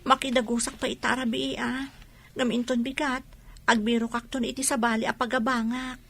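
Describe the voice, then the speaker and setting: A meek woman in an Ilocano radio drama. Her high voice has an Ilocano accent. She speaks hesitantly and deferentially, as if always apologizing. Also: little pitch movement, normal speed, vocal fry, fairly bright tone. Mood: resigned. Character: meek, apologetic woman pleading against eviction.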